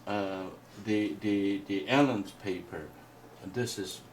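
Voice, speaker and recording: Deep-voiced man, halting and non-native. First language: Mandarin. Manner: halting, many restarts and fillers. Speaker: painting teacher. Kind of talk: hesitant workshop explanation. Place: indoor workshop room. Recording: distant mic with faint noise.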